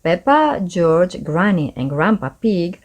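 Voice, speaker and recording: A woman's voice: on the low side, very animated, slow pace. A woman reading a children's story aloud as narrator, expressively and with careful pacing.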